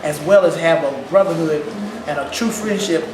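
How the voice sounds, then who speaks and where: Ghana-born man with a St. Louis accent, lively interview guest, high-ish voice, echoey noisy room. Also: normal speed. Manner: animated, laughing, repeats words. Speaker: percussionist guest. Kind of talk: casual interview. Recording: echoey room with loud background noise.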